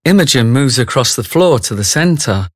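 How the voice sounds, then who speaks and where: A man's voice: mid-range voice, lively intonation, normal speed, no echo, studio quality, close to an everyday voice. A man acting as the detached, knowing narrator of a scripted radio drama. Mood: detached, wry.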